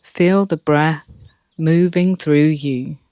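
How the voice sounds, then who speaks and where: A meditation guide speaking calmly and softly, leading a relaxation session with long pauses. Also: medium pitch, dry recording. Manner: calm, soft, with long pauses.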